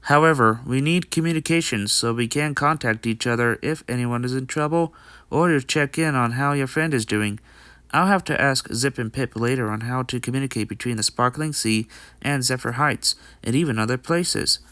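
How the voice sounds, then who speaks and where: A man's voice: medium pitch, moderate pace, fairly bright tone, smooth, no echo, natural voice. A man playing a friendly, dutiful young male pony in an amateur comic dub, explaining things in an earnest, read-out way. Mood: earnest, pondering.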